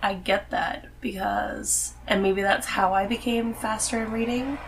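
A woman's voice: medium pitch, steady tone, a little slow, noisy background. A woman guest on a podcast, chatting casually in loose, informal phrasing. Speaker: podcast guest, host's wife. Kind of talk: casual conversation about reading.

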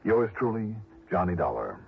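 An actor playing a shrewd, weary male insurance investigator in 1960s radio drama, measured and probing in his delivery. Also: sits low, very animated, creaky, husky, heavily acted. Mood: sombre, resolved. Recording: muffled, noisy old radio recording.

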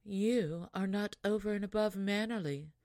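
A woman voicing a fairy in disguise who calmly and quietly pronounces a curse. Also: mid-range voice, moderate pace, no echo, studio quality, lightly acted. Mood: stern. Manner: calm, quiet, stern. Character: calm fairy disguised as a princess.

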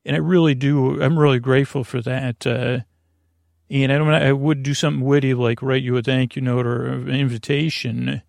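A man with a lower-middle, creaky voice full of fry, recorded close and dry. He hosts a sleep podcast in a rambling monologue. He meanders, halts and trips over words as he drifts from one thought to the next. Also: no room sound. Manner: meandering, halting, trails off and stumbles over words. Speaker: podcast host.

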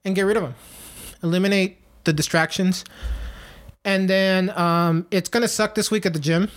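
A man's voice: sits high, steady tone, normal speed, a bit bright. Rambling man in his late thirties. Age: 30s. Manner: rambling, many fillers and restarts. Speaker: podcast co-host. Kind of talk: casual podcast chat.

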